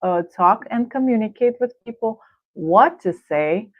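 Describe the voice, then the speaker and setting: A middle-aged woman with a Russian accent, a guest coach answering thoughtfully with frequent pauses and fillers. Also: on the high side, expressive, dry recording. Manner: thoughtful, halting with many fillers. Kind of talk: casual interview answers. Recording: tinny phone-like line.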